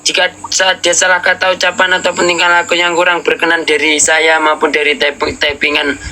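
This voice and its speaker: A man's voice: a little high, monotone, brisk, bright, forceful tone, low-quality recording. A man with a Javanese accent hosting a casual live stream, polite and mixing in Javanese courtesy words. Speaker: livestream host. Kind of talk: casual livestream chat.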